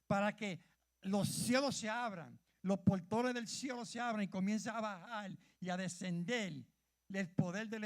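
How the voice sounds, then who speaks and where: Fervent high-voiced man preaching. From Puerto Rico. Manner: fervent, calls out questions to the congregation, stumbles over words. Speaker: church leader preaching. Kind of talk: sermon.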